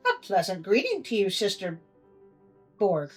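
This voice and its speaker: Terrible giantess for a storybook, played by a woman: formal archaic greetings, unhurried delivery, faint music underneath. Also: mid-range voice, very animated, darkish tone, husky. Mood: formal, probing. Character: terrible giant sisters of a queen, looming and greedy.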